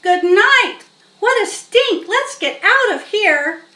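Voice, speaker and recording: Lady doing a yelling grossed out kid, super high, storybook, off the mic. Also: animated, slow, heavily acted. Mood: screaming disgust. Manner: screaming exclamations. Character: excitable disgusted boy fleeing a stink. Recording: roomy, distant mic, faint noise.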